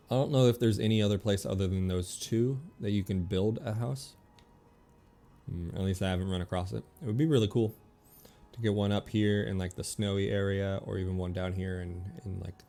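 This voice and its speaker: A man's voice: low voice, creaky, warm tone. A man giving casual gameplay commentary, rambling and thinking aloud as he plays. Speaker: game streamer.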